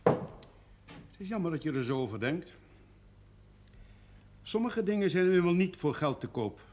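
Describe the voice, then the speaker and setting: An actor playing a proud, plain-spoken village brewer and father in a period television drama, with a husky voice. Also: high-pitched, very animated, unhurried, a little mellow, heavily acted. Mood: firm, dignified. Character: firm, proud rural brewer and devoted father. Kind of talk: 1970s Dutch television period drama acting.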